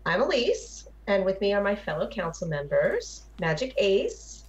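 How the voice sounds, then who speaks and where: A woman's voice: medium pitch, very animated, measured pace, dull audio. A woman hosting a live talk show podcast, opening the show and introducing the panel.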